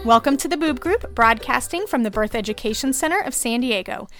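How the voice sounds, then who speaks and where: A woman's voice: fairly high pitch, expressive, fairly quick, over music. A woman hosting a podcast who is also a lactation consultant, reading an upbeat, scripted welcome.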